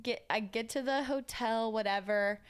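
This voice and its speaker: A woman's voice: a little high, moderate pace, clear, resonant tone. A young woman, a dancer, telling a story in casual chat and acting out the people she quotes. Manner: animated storyteller, acts out quotes.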